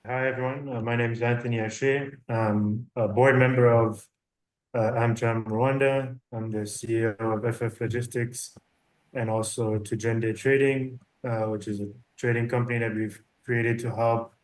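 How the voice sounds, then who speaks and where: A man's voice: mid-range voice, level tone, moderate pace, low-quality recording. A man introducing himself as a company executive on a virtual business panel. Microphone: video call.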